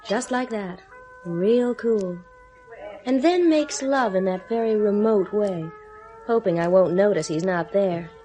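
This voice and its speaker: A woman's voice: medium pitch, unhurried, dark tone, soft background music, natural voice. A woman playing the weary, sarcastic wife of an astronaut in a 1970s radio drama. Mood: bitter. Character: bitter lonely wife of an astronaut.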